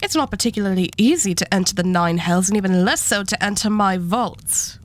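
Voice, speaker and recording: Female arch devil for an ASMR roleplay, acted by a woman: mid-pitched, imperious treasure hoarder, condescending, unhurried, courteously threatening. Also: close to an everyday voice. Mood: haughty, amused. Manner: condescending, unhurried, politely menacing. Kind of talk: scripted ASMR roleplay acting.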